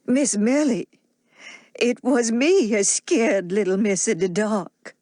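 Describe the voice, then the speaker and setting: A woman's voice: on the high side, slow, dark tone, no room sound, clean studio sound. A woman voicing a devoted, frightened old nanny with a heavy Southern US accent and plainspoken dialect. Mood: troubled.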